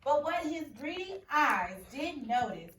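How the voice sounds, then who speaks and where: A woman teacher reads a picture book aloud with lively storybook expression, pausing to put questions to her class. Her voice sits a little high. She sounds set back from the mic in a reverberant room, with a faint hiss underneath. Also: distant mic.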